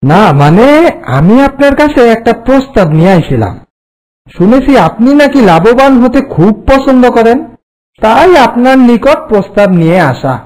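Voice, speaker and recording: A man voicing a polite, sly village trickster in a cartoon folk tale, with a coaxing, smooth-talking way. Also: very high-pitched, sing-song, moderate pace, darkish tone, poor audio.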